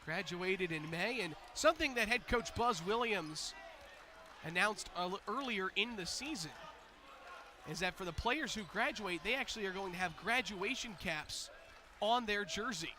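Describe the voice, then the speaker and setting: High-pitched American man calling live basketball. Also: normal speed. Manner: energetic, building to excited calls on big plays. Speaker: play-by-play sports commentator. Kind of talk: live basketball play-by-play commentary.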